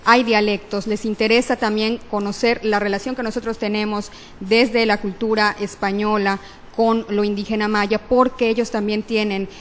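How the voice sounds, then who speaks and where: A woman's voice: medium pitch, little pitch movement, moderate pace, in a large hall. A woman interviewer asking questions and prompting her guest, filling in the missing words.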